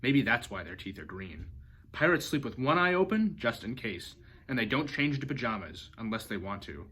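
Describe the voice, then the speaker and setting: A man reading a children's storybook aloud as an expressive narrator. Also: medium pitch, moderate pace, slightly rough. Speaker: storybook reader.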